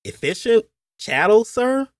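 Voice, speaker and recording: A man's voice: high-pitched, sing-song, unhurried, no room sound, clean studio sound, voice pushed up, exaggerated voice. A man voicing a crude, deferential young plantation overseer with a folksy Appalachian accent, in an audiobook story. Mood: eager, folksy. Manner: folksy, coarse, ungrammatical speech.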